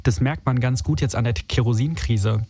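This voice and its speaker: A young man from Berlin with a soft, dark-edged, relaxed tone. He talks in a chatty, informal way, steering a casual interview and using youthful slang. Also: mid-range voice, normal speed, breathy edge. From Berlin, Germany. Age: 20s. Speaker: interviewer leading a conversation.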